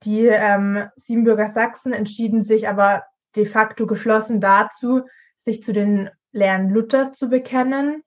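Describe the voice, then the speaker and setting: A woman's voice: mid-range voice, steady tone, moderate pace. A woman in her early twenties co-hosting a student podcast with prepared, explanatory talk. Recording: dull lo-fi podcast audio.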